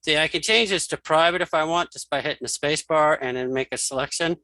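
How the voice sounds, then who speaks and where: A man giving a casual spoken software walkthrough as an instructor, thinking aloud informally as he demonstrates. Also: a little high, fast, no echo. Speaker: screen reader tutorial instructor.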